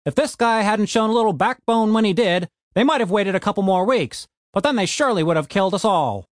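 A man's voice: sits high, expressive, brisk, dry recording, clean studio sound, voice pushed up. A man voicing a crippled male gnome innkeeper who talks bluntly and swears a lot. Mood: angry.

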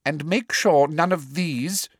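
A man voicing a cruel, falsely sweet middle-aged woman official with a prim, sharp manner. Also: fairly high pitch, slow, no room sound, clean studio sound, voice pushed up.

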